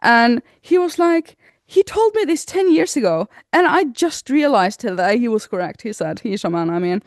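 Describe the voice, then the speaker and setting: A woman co-hosting a casual podcast chat. She talks in an animated, rambling way, restarts her sentences and laughs often. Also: on the high side, moderate pace, no room sound, clean studio sound. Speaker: podcast co-host.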